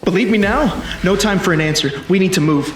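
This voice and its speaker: An actor playing a commanding, cocky time traveler in an action sci-fi film, terse and insistent. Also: male voice, sits high, a little fast, lightly acted. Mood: urgent, commanding. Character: urgent male time-traveling protector from the future, commanding and cocky. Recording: roomy sound with music behind.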